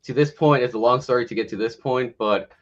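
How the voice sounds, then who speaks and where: A man's voice: mid-range voice, fairly quick, highs cut off. A man in his thirties from Mexico and the US, telling his story casually and candidly as a guest. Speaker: podcast guest, health coach. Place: remote online livestream call.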